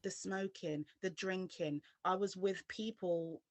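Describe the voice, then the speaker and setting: A woman's voice: fairly low pitch, steady tone, takes it slowly, darkish tone, no echo. A woman from London giving her personal testimony as an interview guest, speaking earnestly and directly to the viewer.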